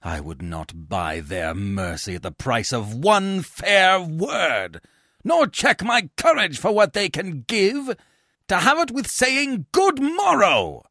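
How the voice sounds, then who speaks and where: A man's voice: high-pitched, sing-song, slow pace, pushed and bright, no room sound, studio quality, voice pushed up, heavily acted. A man playing a proud, furious Roman general in a dramatic reading, scornful and defiant.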